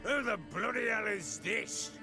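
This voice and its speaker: An actor playing a rough, middle-aged baron and regretful father in a video game, gravelly and coarse, swinging between sardonic and beseeching. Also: high-pitched, measured pace, over music. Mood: indignant.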